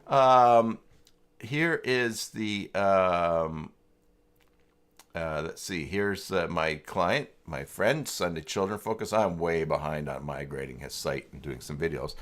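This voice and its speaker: A man hosting a casual live software tutorial, talking informally and haltingly as he thinks aloud while chatting with viewers. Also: low voice. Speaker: livestream host demonstrating website tools.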